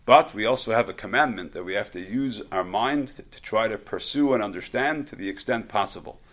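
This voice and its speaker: A man's voice: fairly low pitch, a little fast, fairly bright tone. A man teaching a religious study class in an informal way, often repeating words and restarting his sentences as he explains. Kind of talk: informal religious lecture with questions.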